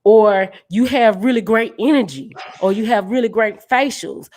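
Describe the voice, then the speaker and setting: Woman, deep voice, podcast host, casual chat, earnest, encouraging. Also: animated, normal speed, a little mellow, a little hiss or hum. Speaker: podcast host and dance team leader.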